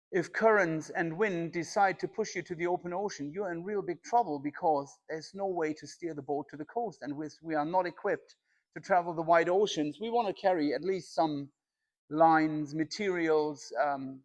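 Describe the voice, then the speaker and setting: A man's voice, pitched a little higher than average, hosts a casual explainer vlog. He talks like a practical skipper addressing his followers, laying out each point in a plain-spoken, orderly way. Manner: plain-spoken, matter-of-fact, methodical explaining. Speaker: boat owner and video channel host, captain.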